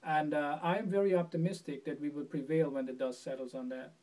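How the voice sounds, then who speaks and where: A man from Guyana answers interview questions in an earnest, measured way. His voice sits a little high for a man and stumbles over a word now and then. He sounds set back from the mic. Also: fairly even intonation, a little mellow, distant mic. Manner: earnest, measured, repeats words at restarts. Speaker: presidential candidate.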